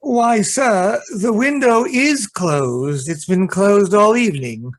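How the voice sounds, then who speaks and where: A man's voice: high voice, lively intonation, takes it slowly. A man playing a polite, hesitant and sly valet in a radio play. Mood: deferential, nervous. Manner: hesitant, polite servant's delivery. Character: deferential, hesitant, secretly treacherous male valet. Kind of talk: amateur radio play acting.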